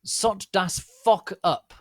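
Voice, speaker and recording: A brash teenage girl author, as a male narrator puts her on, pitched up and loud. She is petulant and emphatic, ranting defiantly in a dramatic story reading. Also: slow, no room sound, clean studio sound.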